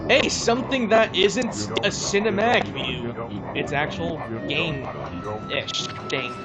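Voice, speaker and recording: A man's voice: a little high, sing-song, takes it slowly. A man co-hosting a let's play, giving casual gameplay commentary and often searching for his words. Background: game music.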